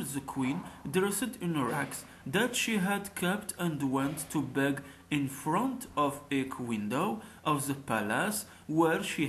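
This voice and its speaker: A man's voice: fairly high pitch, fairly bright tone, a little hiss or hum, poor audio. A man narrating a scripted folk tale as a storyteller.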